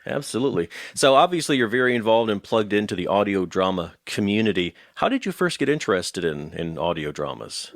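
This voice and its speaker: Deep-voiced male interviewer, dry sound. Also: big pitch swings, a little fast, creaky, hardly any pauses, dry recording. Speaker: interviewer, host. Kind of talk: conversational interview.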